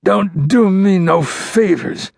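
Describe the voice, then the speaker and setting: Western audiobook: wounded outlaw, defiant and sneering, gravelly strain, high-pitched, words dragged out. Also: male voice, no echo, studio quality, full character voice. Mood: bitter, groaning. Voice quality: strained, slurred, groaning. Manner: drawn-out, sneering, contemptuous. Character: dying wounded Old West outlaw, bitter and defiant. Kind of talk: western audiobook character acting.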